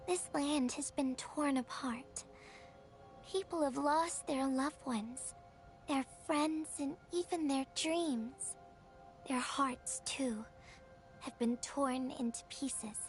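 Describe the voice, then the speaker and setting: A high, soft young woman's voice, taking its time over each phrase. She is a playful, earnest messenger with a wistful streak, teasing and tender in a game-dialogue style, over faint music. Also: takes it slowly. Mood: wistful, sorrowful. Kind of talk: video game voice acting.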